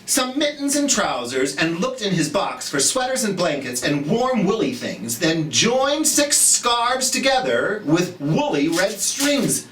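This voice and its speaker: Expressive children's storyteller with very varied pitch, in a roomy space. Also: crowd noise. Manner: theatrical, expressive, with drawn-out emphasis; gentle, encouraging, speaking directly to children. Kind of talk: animated children's read-aloud and gentle chat to young viewers. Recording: roomy echo, distant mic, light noise.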